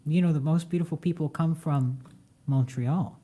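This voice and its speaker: Mellow low-voiced woman host. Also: normal speed, breathy edge. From United States. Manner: encouraging, frequent short affirmations. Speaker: interview host. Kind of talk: casual interview talk.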